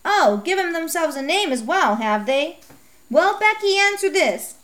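A woman voicing a strict, nagging mother who scolds her daughter with rapid questions. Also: very high voice, very animated, fairly bright tone, voice pushed up, exaggerated voice. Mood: scolding.

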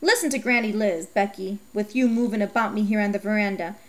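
A woman's voice: mid-range voice, a little fast, close to an everyday voice. A woman voicing a fussy elderly grandmother giving a warning. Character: fussy old grandmother in a rocking chair.

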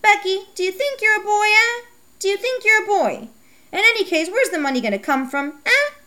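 A woman voicing a strict, nagging mother who scolds her daughter with rapid questions. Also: very high-pitched, expressive, sharp tone, voice pushed up, full character voice. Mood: scolding.

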